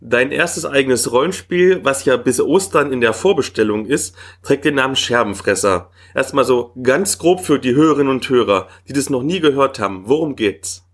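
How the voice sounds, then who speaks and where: A man's voice: medium pitch, animated, normal speed, few pauses, breathy edge. A man hosting a podcast, interviewing a guest with friendly, structured questions.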